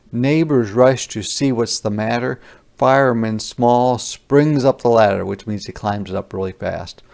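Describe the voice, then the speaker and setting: A man with a creaky voice reading a children's picture book aloud and pausing to explain words simply. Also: on the low side, darkish tone.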